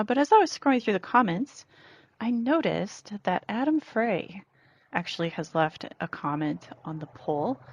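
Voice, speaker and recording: A woman leaving a casual voice message as a listener, giving relaxed spoken commentary. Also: lowish voice, slight background noise. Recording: dry, dull lo-fi voice message.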